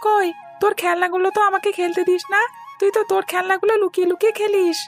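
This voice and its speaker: A woman's voice: extremely high pitch, flat delivery, quick pace, faint music behind. A woman voicing a bickering, jealous young boy, the older brother in a cartoon story. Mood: complaining.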